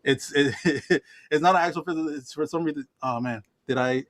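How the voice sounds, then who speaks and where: A musician in a casual group video chat, easygoing and halting, correcting himself as he goes. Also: fairly high pitch.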